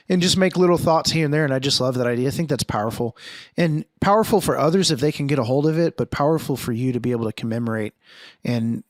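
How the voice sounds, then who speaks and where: A man's voice: mid-range voice, no echo. Podcast host, male, 40, solo reflective monologue, earnest and searching, close dry mic. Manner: earnest, thinking aloud with restarts and rhetorical questions.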